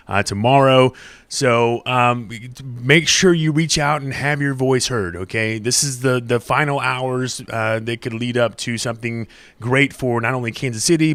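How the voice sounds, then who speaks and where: Male host for a casual live show, smooth clear voice, dry close sound. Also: mid-range voice, fairly bright tone, dry recording.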